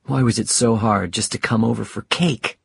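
Guy doing a worried mom telling off her husband, husky, emotional, storybook reading. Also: fairly low pitch, normal speed, no echo, exaggerated voice. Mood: tearful. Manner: scolding, emotional. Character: worried, scolding wife and mother.

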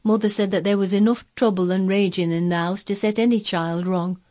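A woman's voice: medium pitch, fairly even intonation, a little fast, a little mellow, natural voice. A woman voicing a fretful, fearful young housemaid with a Yorkshire accent, exclaiming and gossipy, in a storybook reading. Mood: confiding. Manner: exclaiming, dialect-speaking, fretful.

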